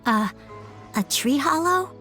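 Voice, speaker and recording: A woman's voice: high-pitched, animated, slow pace, bright, forceful tone, heavily acted. A woman voicing a shy, polite little boy in a video game, speaking hesitantly. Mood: tearful, hesitant. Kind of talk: video game character acting. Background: music.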